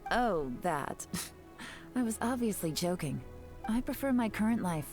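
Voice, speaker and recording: A woman's voice: mid-range voice, expressive, unhurried, darkish tone, faint music behind, natural voice. A woman playing a composed, sly intelligence agent in a video game, confident and wry. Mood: cool, casual. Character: cool, self-assured female intelligence agent, sly, teasing, adventurous.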